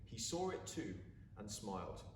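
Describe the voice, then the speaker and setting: Expressive man reading, roomy sound. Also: fairly low pitch, a little slow. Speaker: story reader. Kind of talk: expressive storybook narration. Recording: roomy, distant mic, faint background noise.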